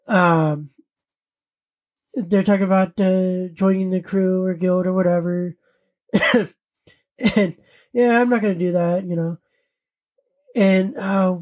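A woman co-hosting a podcast, chatting casually and haltingly as she searches for her words. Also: on the low side, mellow. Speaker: podcast co-host. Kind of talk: casual chat recapping an anime parody.

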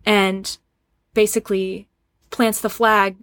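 A young woman speaking as a podcast guest in casual, reflective conversation, earnest and searching, often halting as she works out her thoughts. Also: medium pitch, flat delivery, fairly bright tone.

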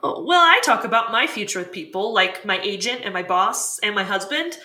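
A woman's voice: medium pitch, lively intonation, a little fast, pushed and bright. An actress playing an eager, curious, sympathetic woman who asks questions and reacts in a scripted fiction podcast. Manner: inquisitive, reactive. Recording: roomy echo.

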